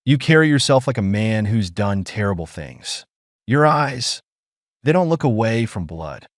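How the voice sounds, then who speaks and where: A weary alien mother, acted out by a male narrator, speaks in measured, formal phrases. She is proud, gentle and firm, in a dry, close audiobook sound with lively pitch movement. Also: no room sound. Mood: accusing, defiant. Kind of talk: audiobook character dialogue.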